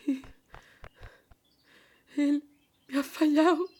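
A woman's voice: high-pitched, steady tone, extremely slow, a little mellow. An actress playing a spirited young working-class woman protester in a radio drama. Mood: anguished. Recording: faint music behind dialogue.

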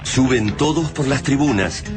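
A man's voice: medium pitch, a little slow, music in the background. A man with an Argentine accent giving solemn, scripted narration for a radio drama in a literary manner.

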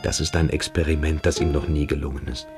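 An actor playing a submissive, evasive boarding-school pupil in a German radio play, hesitant and coquettish. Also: male voice, rumbling low, steady tone, quick pace, over music. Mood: hesitant, confiding.

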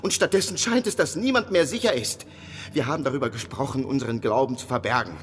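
A man's voice: mid-range voice, expressive, fast talker, soft background music, lightly acted. An actor playing a fearful, faint-hearted clergyman and devoted disciple in a German radio drama, hesitant and worried in manner. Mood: frightened, agitated.

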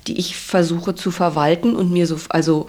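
A woman's voice: fairly low pitch, steady tone, moderate pace, darkish tone. A woman answering interview questions reflectively and conversationally, thinking aloud and correcting herself as she goes. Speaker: stage performer being interviewed.

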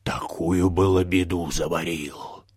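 Husky, very deep-voiced peasant man. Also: steady tone, slow pace, darkish tone, no echo, clean studio sound, exaggerated voice. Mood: grave, hushed. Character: plain-spoken peasant man bringing village news to his mistress. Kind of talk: radio play acting.

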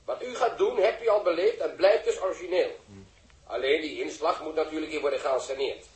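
An actor playing a fussy, bossy quiz host in a 1960s radio drama, formal and officious. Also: male voice, fairly high pitch, fairly quick, a little mellow, lightly acted. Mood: officious, insistent. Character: fussy, bossy male TV quiz host directing a staged scene. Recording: roomy old radio recording.

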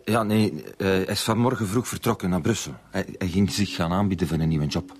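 A man's voice: low voice, brisk, a little mellow, slight background noise, lightly acted. An actor playing a defensive middle-aged Flemish father in a radio drama, hesitant and stammering as he covers for his son. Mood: flustered. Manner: hesitant, stammering, repeats himself.

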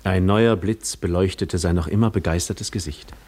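A man's voice: low-pitched, moderate pace, a little mellow, breathy edge. An actor playing a reflective, skeptical wanderer who narrates his own story soberly in a 1950s German radio drama. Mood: reflective narration.

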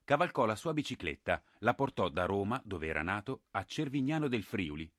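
A man's voice: on the low side, sing-song, brisk, fairly bright tone, no room sound, clean studio sound. A man playing the narrator of a war drama, telling the story in solemn scripted narration. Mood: measured, storytelling.

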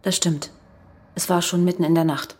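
An actress playing a strained, defiant wife with blunt, curt delivery in a radio crime drama. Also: female voice, on the low side, steady tone, moderate pace, darkish tone, husky.

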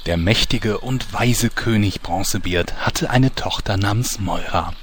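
A man plays a storybook narrator in a fantasy game parody. The voice is low and mock-solemn, easily thrown off. Slight noise sits behind it. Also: a little slow, fairly bright tone. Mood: storytelling. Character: mock-solemn, easily flustered male fairy-tale narrator. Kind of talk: scripted storytelling in German audio drama parody.

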